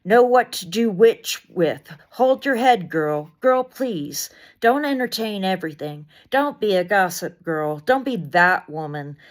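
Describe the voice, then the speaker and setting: A woman reciting spoken-word poetry in deliberate short phrases with dramatic emphasis, as a poet reading another writer's work. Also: mid-range voice, expressive.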